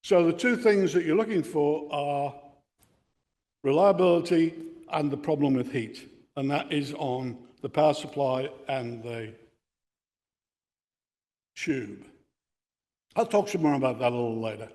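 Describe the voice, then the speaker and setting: A man's voice: a little high, a little slow, long pauses, a little mellow. An older man with an Australian accent giving a technical lecture to radio enthusiasts. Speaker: amateur radio club speaker. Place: indoor meeting room with equipment on a bench. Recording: reverberant hall or meeting room.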